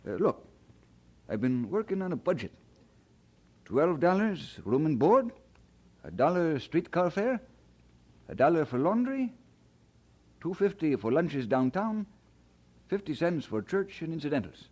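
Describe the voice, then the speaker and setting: Earnest young Scotsman, husky, animated. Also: a little high, unhurried, pauses often, highs cut off. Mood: chatty. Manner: polite, modest, hesitant. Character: young Scottish immigrant man, humble, earnest, would-be minister. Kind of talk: audio drama acting.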